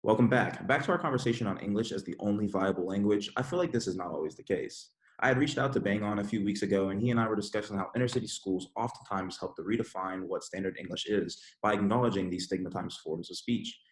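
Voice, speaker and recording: Deep, fast-talking male podcast host. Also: roomy sound, poor audio. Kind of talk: scripted panel podcast.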